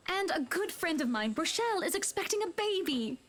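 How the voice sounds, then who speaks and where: High-voiced, gushing lady of the manor. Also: very animated, fairly quick, a bit bright, heavily acted. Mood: gushing, hospitable. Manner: gushing, hostess-like, teasing. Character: gracious, teasing wealthy lady of the manor. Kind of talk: visual novel game voice acting.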